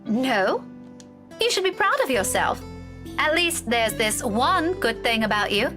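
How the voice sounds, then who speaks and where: An actress playing a blunt, needling schoolteacher with grudging kindness in a visual novel. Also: female voice, a little high, big pitch swings, a little slow, over music. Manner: frank, teasing, lecturing. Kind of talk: dramatic visual novel voice acting.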